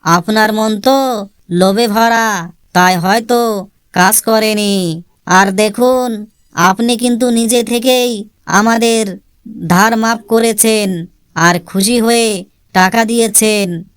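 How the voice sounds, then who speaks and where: A woman voicing a clever, composed village housewife with a polite, measured manner in a cartoon moral story. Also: mid-range voice, smooth, no echo, studio quality, natural voice. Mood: calm, pointed.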